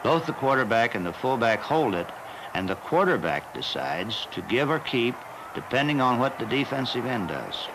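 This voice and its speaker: A man narrating a football training film as the team's coach, in a measured and plain instructional manner. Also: medium pitch, very animated, noisy background.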